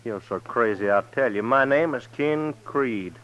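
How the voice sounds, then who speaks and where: An actor playing a cold, threatening gunslinger in an old-time radio western, with a tough folksy drawl. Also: male voice, medium pitch, unhurried, fairly bright tone, lightly acted. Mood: menacing. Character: cold, menacing gunslinger drifting into a frontier town. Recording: dull vintage radio recording.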